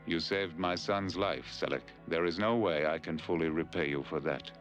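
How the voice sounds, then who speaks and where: A very deep voice, a man acting a stern alien father in a science fiction cartoon. He is stately and restrained, with faint music behind him. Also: moderate pace, natural voice. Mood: formal, grateful. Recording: old cartoon soundtrack with music.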